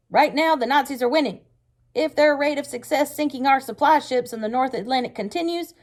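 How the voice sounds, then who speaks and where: A woman's voice: a little high, voice pushed up. A woman reading a storybook aloud, voicing a fierce, hard-driving male wartime Navy commanding officer with stern, emphatic delivery. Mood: grave, urgent. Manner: stern, forceful, emphatic speech-making. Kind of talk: read-aloud storybook character voice.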